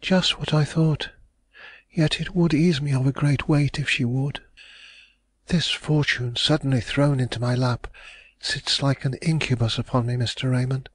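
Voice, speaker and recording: Brief: male reader doing a distraught young rich woman, higher voice, dry read. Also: fairly even intonation, a little slow, dry recording, lightly acted. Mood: troubled. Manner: emotional, breathless pleading.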